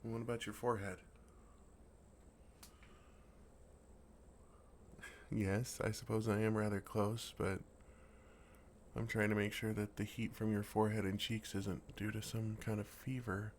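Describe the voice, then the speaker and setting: A man playing a gentle, affectionate, innocently oblivious dragon in an intimate, earnest ASMR roleplay. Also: fairly low pitch, little pitch movement, normal speed, a little hiss or hum, natural voice. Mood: tender concern. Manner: earnest, softly teasing, innocently puzzled.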